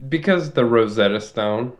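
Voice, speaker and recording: A man's voice: medium pitch, moderate pace. A man co-hosting a casual podcast, tossing in flippant, joking remarks. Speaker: podcast co-host.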